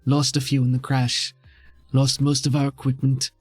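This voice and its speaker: A frail, wounded tabletop villain, played by a man, with a husky voice close and dry in the ear. He is hesitant and ingratiatingly polite, always bargaining for his survival. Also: mid-range voice, monotone, normal speed, a little mellow, dry recording, faint music behind, lightly acted. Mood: resigned, evasive. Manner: hesitant, obsequious, overly polite.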